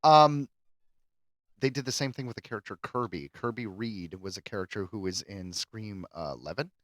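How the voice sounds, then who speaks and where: A man co-hosting a podcast, chatting casually and rambling through animated asides. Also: fairly low pitch, moderate pace, dry recording. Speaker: podcast co-host. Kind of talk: casual chat about horror films.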